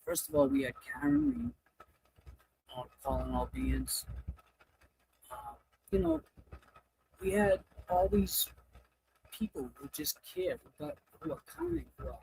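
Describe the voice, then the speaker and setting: A man hosting a casual livestream, talking in a rambling, halting way and often breaking off mid-thought. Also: high voice, wildly swooping pitch, vocal fry, darkish tone, slight background noise, poor audio. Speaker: livestream host.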